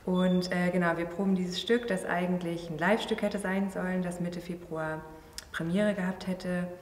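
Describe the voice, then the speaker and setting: A thirty-year-old woman explains her work in a casual interview, halting now and then to search for words. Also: fairly low pitch, steady tone. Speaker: performer and choreographer interviewee. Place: large empty theatre venue. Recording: echoey hall, distant mic, faint noise.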